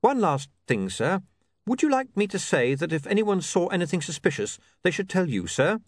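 A man's voice: high-pitched, big pitch swings, normal speed, dry recording, voice pushed up. A man voicing a polite, stubborn young male reporter in a storybook, deferential yet persistent.

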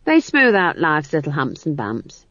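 A woman's voice: sits low, huge pitch swings, a little slow, a bit bright, no room sound, dull audio, heavily acted. Woman playing a flustered, deferential old chamberlain. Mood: happy. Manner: hesitant, deferential. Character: timid, flustered, obsequious old male chamberlain.

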